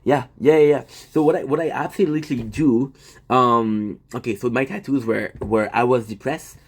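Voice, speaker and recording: A man's voice: medium pitch, normal speed, husky. Man, rambling casual stream chat, answers the chat. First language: French. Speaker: livestream host.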